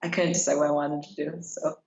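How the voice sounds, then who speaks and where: A woman's voice: low voice, level tone, a little fast, vocal fry, a little mellow. Young woman chatting in a roomy, dull recording. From South Korea, raised in Kenya. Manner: chatty, peppers speech with "like". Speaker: interview guest, middle school teacher. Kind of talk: casual interview.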